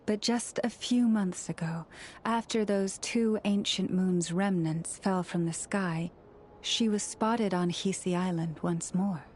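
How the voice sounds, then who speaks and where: A woman playing a young moon priestess in a video game, solemn, gentle and earnest, speaking in a measured, formal, reverent way. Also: lowish voice, a little hiss or hum. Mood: troubled, reflective. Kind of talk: video game cutscene acting.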